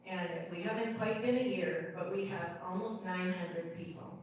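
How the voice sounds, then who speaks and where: A woman's voice: fairly low pitch, moderate pace, highs cut off. A woman making unscripted remarks as a local group organizer at a town hall. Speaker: local political group founder. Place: indoor town hall meeting room. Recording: distant, very echoey room, faint noise.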